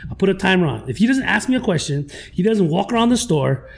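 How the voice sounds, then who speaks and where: A man with a Hawaiian Pidgin-tinged accent, a record store owner telling stories in a slangy, animated way during a casual podcast chat. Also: sits high, fast, gravelly.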